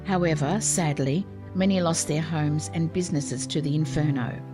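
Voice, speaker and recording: A woman narrates history in a measured, formal tone. Her voice sits a little low. Loud guitar music plays under her.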